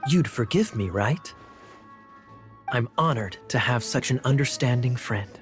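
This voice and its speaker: A suave male knight captain from a video game , played by an actor. He speaks in a mid-pitched voice with sly composure and teases with ironic courtesy. Light music sits behind him. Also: expressive, a little slow, lightly acted. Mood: courteous, sly. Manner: ironic politeness, smooth teasing.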